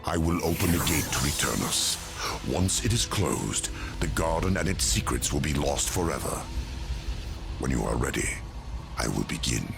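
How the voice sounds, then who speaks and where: Ancient solemn angel, bottomless pitch, sweeping intonation, weary, portentous, game cutscene. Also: male voice, expressive, over music, exaggerated voice. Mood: grave, urgent. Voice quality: gravelly, very deep. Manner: slow, grave, portentous. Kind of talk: dramatic video game cutscene acting. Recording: loud orchestral score behind voice.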